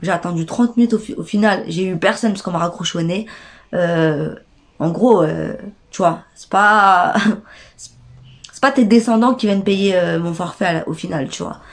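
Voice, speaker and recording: A woman's voice: on the low side, moderate pace. A young woman with a stuffy nose from a cold tells an indignant, slangy story straight to her viewers. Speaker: vlogger. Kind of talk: casual storytime rant.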